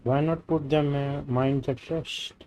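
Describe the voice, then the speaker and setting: A man's voice: mid-range voice, fairly even intonation, slow, mellow, a little hiss or hum. A man streaming a video game, reading dialogue choices aloud and reacting casually.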